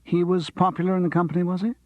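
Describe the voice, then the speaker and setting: A man's voice: sits high, level tone, very fast, darkish tone, no room sound, voice pushed up, lightly acted. A man voicing a wry, somewhat evasive actor in a storybook reading. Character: wry, middle-aged male jobbing actor.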